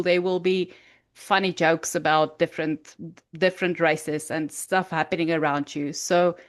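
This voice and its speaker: Hesitant South African Afrikaans woman. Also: on the low side. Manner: hesitant, many restarts and fillers. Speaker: podcast guest. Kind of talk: casual interview storytelling.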